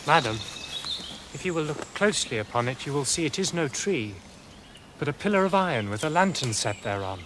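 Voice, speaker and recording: A man's voice: fairly high pitch, animated, brisk, fairly bright tone, slight background noise. An actor playing a courtly young king in a radio drama, speaking with formal, precise, archaic manners.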